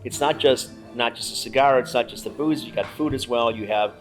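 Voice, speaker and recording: A middle-aged man hosting a chat in the bar he owns, speaking in a measured way with dry humor. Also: mid-range voice, soft background music. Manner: measured, dryly joking. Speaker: host and bar owner. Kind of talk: casual chat.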